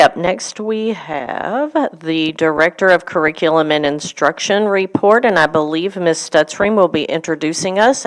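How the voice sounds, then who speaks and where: A woman runs a public board meeting, moving briskly through agenda items and calling on speakers. Her voice sits a little low for a woman.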